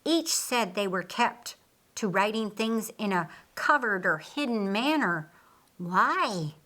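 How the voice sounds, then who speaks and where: A woman's voice: mid-range voice, expressive, takes it slowly, bright, forceful tone. A woman voicing an eager, questioning grown man, someone's brother, in audiobook dialogue. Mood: inquisitive. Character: Lazarus, inquisitive, eager adult brother.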